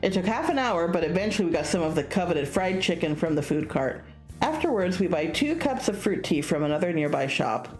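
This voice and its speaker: A woman reads a story game aloud for viewers in a fairly low voice. Her delivery swings up and down expressively, with casual asides. Soft music plays behind her. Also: fairly quick. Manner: animated, acts out dialogue. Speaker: streamer reading a visual novel aloud.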